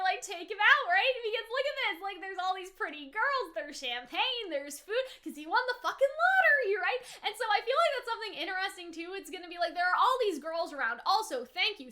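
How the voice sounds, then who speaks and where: A woman with a high, bright voice talks like a YouTuber reviewing a show. She is chatty and animated, using casual slang and drifting into tangents as she reacts.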